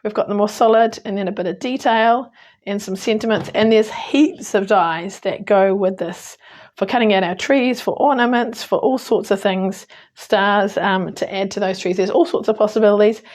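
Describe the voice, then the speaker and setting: A hoarse woman with a New Zealand accent talks through a stamping and card-making demo. Her speech is chatty and relaxed, sprinkled with ums and quick corrections as she works. Her croaky voice holds a steady middle pitch. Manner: chatty, with frequent ums and small slips. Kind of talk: casual crafting tutorial.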